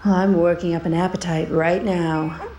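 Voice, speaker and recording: An actress playing a flirtatious, evasive femme fatale in a noir radio play, teasing and sultry. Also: female voice, fairly low pitch, steady tone, moderate pace, warm tone, slight background noise, natural voice. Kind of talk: 1940s-style radio play acting.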